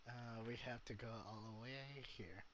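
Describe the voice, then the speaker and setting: A man giving casual, halting gameplay commentary, repeating himself as he searches for words. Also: medium pitch. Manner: halting, repetitive. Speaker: streamer playing a game. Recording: very noisy, far-sounding gameplay mic. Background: loud noise, game ambience.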